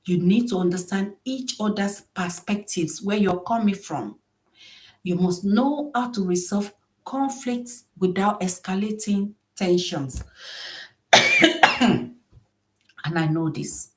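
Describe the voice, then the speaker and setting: A soft-voiced middle-aged woman hosting a podcast, giving earnest solo advice on marriage and often repeating key phrases for emphasis. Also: mid-range voice. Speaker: podcast host.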